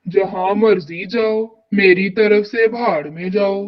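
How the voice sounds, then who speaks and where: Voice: medium pitch, takes it slowly, muffled recording, lightly acted. An actor voicing a greedy, harsh crow landlord in a cartoon, with a curt, bullying manner. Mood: harsh, angry. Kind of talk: Hindi cartoon dialogue.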